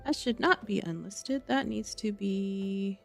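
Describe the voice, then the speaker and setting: A woman's voice: mid-range voice, animated, slow pace, gravelly. A woman livestreaming a game, chatting casually and playfully and greeting viewers as she goes. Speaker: live game streamer. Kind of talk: casual livestream chat, reading game dialogue aloud. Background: faint background music.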